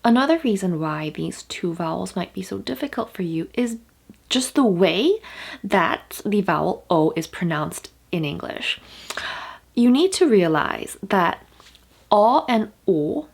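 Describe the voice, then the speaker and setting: A woman's voice: medium pitch, animated, measured pace, vocal fry, breathy edge. A young woman whose first language is Korean, giving a casual pronunciation lesson to the camera. She explains patiently, with fillers and restarts, and draws out vowel sounds to demonstrate them.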